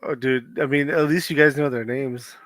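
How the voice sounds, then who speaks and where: A man's voice: mid-range voice, fairly even intonation, moderate pace, a bit bright, dry recording. A man co-hosting a casual chat, easygoing and laughing a lot.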